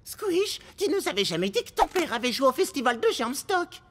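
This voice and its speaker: A woman's voice: high voice, big pitch swings, normal speed. A woman voicing a bookish, precise young band member in a French cartoon dub, wordy and matter-of-fact. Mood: concerned. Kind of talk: French animated series dubbing. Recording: loud music behind the voice.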